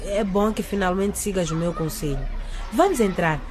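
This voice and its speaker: A woman's voice: mid-range voice, moderate pace, a little mellow, faint music behind, a faint crowd, natural voice. A woman acting in a radio drama as a pushy, scheming teenage girl with a wheedling, bossy manner. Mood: smug, coaxing.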